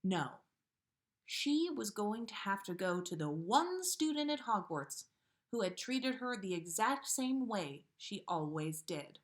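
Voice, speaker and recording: A woman hosting a story livestream, speaking in an informal, expressive way as she chats and reads fiction aloud. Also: medium pitch, takes it slowly. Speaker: podcast host reading listener stories. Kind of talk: casual livestream chat, then storybook read-aloud.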